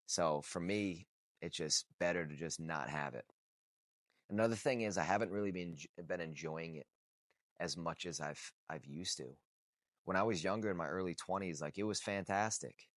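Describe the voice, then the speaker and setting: Deep-voiced blunt man, around thirty. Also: normal speed, fairly bright tone, dry recording, clean studio sound. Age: 30s. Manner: blunt, swears freely, repeats phrases for emphasis. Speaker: vlogger. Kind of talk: casual unscripted talk to camera.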